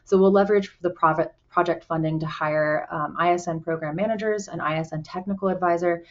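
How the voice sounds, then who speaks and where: A woman's voice: on the low side, fairly even intonation, fairly quick. A woman from Colorado, a program director, giving an earnest conference presentation with frequent "um"s over a video call. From Colorado, USA. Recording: muffled video call audio.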